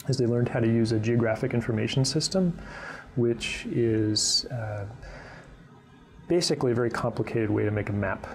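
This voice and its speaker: A man's voice: medium pitch, vocal fry. A man speaking as a college instructor, giving an earnest, informal explanation in an interview.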